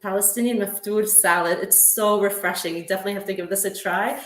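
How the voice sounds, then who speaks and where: A woman's voice: mid-range voice, fairly even intonation, fast. Chatty woman in an echoey kitchen. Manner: chatty, with frequent ums and laughs. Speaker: home cook demonstrating a recipe. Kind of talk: casual cooking demo. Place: home kitchen.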